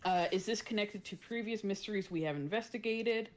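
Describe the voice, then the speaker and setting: A woman's voice: mid-range voice, fairly quick. A woman taking part in a tabletop roleplaying game, chatting casually and thinking aloud with some hesitation. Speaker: player in a tabletop roleplaying stream. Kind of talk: casual chat.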